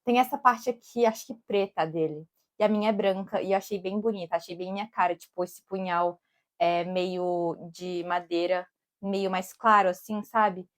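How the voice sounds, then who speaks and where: A woman's voice: lowish voice, fairly even intonation, normal speed. A young Brazilian woman from Brasília with that city's accent. She cooks and vlogs, and talks in a rambling, casual way outdoors in summer. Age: young adult. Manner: rambling and digressive, keeps saying "tipo" and "amigos". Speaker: cook and vlogger. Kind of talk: casual chatty vlog. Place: outdoors in summer, with bees around.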